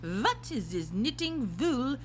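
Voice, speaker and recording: A woman voicing an imperious, menacing grand witch in a storybook, snapping and sneering with drawn-out words. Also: fairly high pitch, sing-song, slight background noise, pitched above the performer's own voice. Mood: suspicious, snapping.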